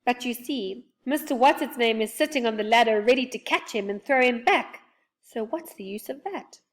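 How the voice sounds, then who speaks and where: A woman's voice: on the high side, normal speed, voice pushed up, natural voice. A woman voicing a tearful young female fairy, speaking in sobs and pleas. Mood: worried. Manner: sobbing, pleading.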